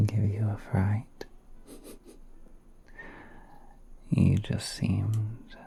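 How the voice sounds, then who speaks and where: A very mellow, breathy spider prince played by a man murmurs in a soothing ASMR roleplay. His delivery is low and even, often dropping to a whisper. He is courteous and a little shy, musing hesitantly as he talks. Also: a little hiss or hum. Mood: apologetic, gentle. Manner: hesitant, polite, musing, unhurried. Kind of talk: ASMR roleplay, soft-spoken monologue.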